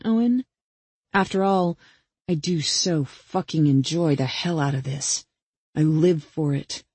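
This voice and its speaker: A woman's voice: lowish voice, warm tone, husky, no room sound. A woman voicing a vengeful young female killer in an audiobook, taunting and mock-patient as she puts pointed questions. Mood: gloating, relishing. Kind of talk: dramatic audiobook character dialogue.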